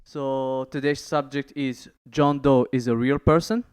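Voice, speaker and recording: A man's voice: mid-range voice, steady tone, measured pace, darkish tone, smooth. Upbeat man hosting a podcast. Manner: upbeat, explains the rules, laughs along. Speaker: podcast host.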